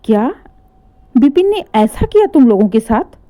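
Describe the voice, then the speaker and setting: A woman voicing an angry middle-aged mother who scolds and shouts at her son. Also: fairly high pitch, sing-song, moderate pace. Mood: worried.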